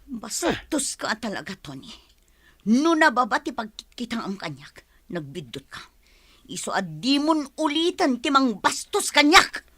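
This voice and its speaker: High-voiced wronged wife in radio drama. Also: female voice, sing-song, measured pace, a bit bright, slightly rough, no room sound, heavily acted. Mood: outraged. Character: wronged mother abandoned by husband, hurt and indignant. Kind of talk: Filipino radio drama acting.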